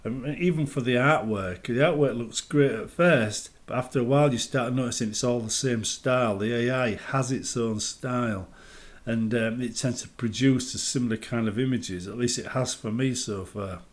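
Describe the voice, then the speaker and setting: A man with a mid-pitched voice talks through his thoughts in an unscripted gaming commentary. His delivery is casual and explanatory, with plain opinions worked out as he goes. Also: moderate pace. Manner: explanatory, opinionated, thinking aloud. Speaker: gaming video creator and modder.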